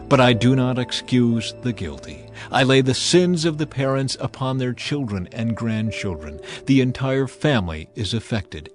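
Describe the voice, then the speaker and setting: A man's voice: medium pitch, natural voice. A man voicing a solemn, commanding God in dramatized scripture, grave and declamatory. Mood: solemn, proclaiming. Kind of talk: dramatized audiobook acting.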